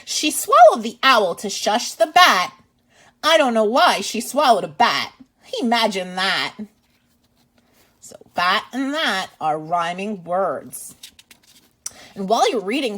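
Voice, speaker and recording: A woman's voice: medium pitch, fairly bright tone. A woman reading a storybook aloud to young children as a teacher and host, with exaggerated expression and asides to the listener.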